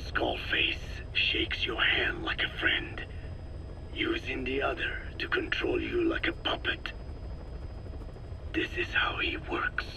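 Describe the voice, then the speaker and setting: An actor playing a solemn, elderly Navajo scientist in a video game, speaking in a breathy whispery rasp with halting, measured phrases. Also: male voice, extremely low pitch, wildly swooping pitch, full character voice, poor audio. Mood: grave, explaining. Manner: slow, measured, halting delivery in short phrases. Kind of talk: dramatic video game dialogue.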